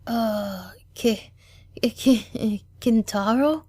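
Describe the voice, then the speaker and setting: A woman voicing an excitable, bashful little boy in a fan audio drama. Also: a little high, very slow, breathy edge, no echo, clean studio sound, full character voice. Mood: flustered.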